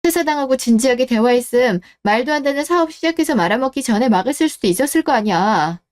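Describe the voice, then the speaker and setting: A woman's voice: high voice, expressive, fast talker. A woman playing a betrayed, cool-headed wife who questions and reproaches in radio-drama dialogue. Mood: stern, unforgiving. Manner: cold, interrogating, reproachful.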